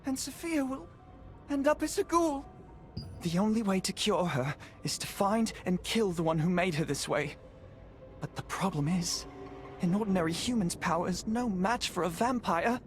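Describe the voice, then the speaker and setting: A woman's voice: medium pitch, expressive, moderate pace, natural voice. Woman playing an earnest, anxious young-hearted sorceress, music behind. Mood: shocked, worried. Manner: dramatic, earnest, explanatory. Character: earnest, anxious female magic user and lore expert. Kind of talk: video game voice acting. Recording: music under the voice.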